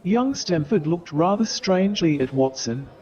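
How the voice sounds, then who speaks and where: A man's voice: fairly high pitch, expressive, normal speed, darkish tone, muffled recording. A man narrating a humorous story as a theatrical storyteller, with a dramatic, florid delivery. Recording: clear audience noise behind the voice.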